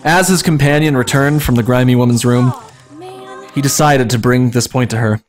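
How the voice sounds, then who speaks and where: A man's voice: mid-range voice, moderate pace, soft background music. A man reading a comic fan fiction story aloud as dramatic narration.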